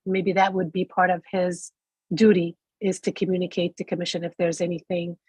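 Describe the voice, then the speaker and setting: A woman's voice: fairly low pitch, little pitch movement, normal speed, a little mellow, breathy edge, no room sound. A congested woman reporting to a committee from her office, polite and apologetic. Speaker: sheriff's office representative. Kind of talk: reporting to a public meeting. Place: her office, joining remotely.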